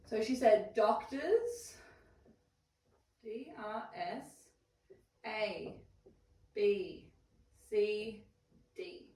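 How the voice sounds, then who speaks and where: A woman's voice: medium pitch, lively intonation, drawn-out words, long pauses, warm tone. A woman leading a small first aid class as trainer, speaking in an affirming, encouraging way. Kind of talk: small group class instruction. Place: indoor room, small group class. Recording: echoey room, distant mic, faint noise.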